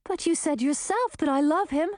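A woman's voice: sits high, moderate pace, a little mellow, no room sound, studio quality, voice pushed up, lightly acted. A woman voicing an earnest young heroine who talks in an eager, anxious and pleading way.

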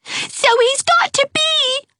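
Shrill tearful twin girl, done by a woman reading a storybook. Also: wildly swooping pitch, takes it slowly, a bit bright, dry recording, studio quality, exaggerated voice. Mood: wailing.

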